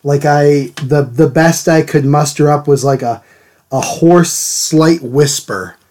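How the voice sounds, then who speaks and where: A man hosting a live drawing stream, a little hoarse from a cold, chatting casually and greeting viewers by name. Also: on the high side, takes it slowly, darkish tone, a little hiss or hum. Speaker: art stream host. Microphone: desk mic, sometimes turned away from it.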